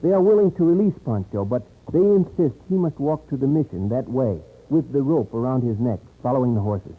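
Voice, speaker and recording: A man's voice: medium pitch, lively intonation, husky. A man playing an earnest, loyal young frontier man who speaks formally in a radio western. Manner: formal, measured, few contractions. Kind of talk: 1950s radio western acting. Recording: tinny old radio recording.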